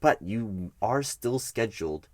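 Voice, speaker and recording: Man, lowish pitch, dry recording, ASMR roleplay, bureaucratic HR manager, measured explanatory delivery, halting emphasis. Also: expressive, dry recording. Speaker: roleplay performer as HR recruiting manager. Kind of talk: one-sided ASMR office roleplay, conversational.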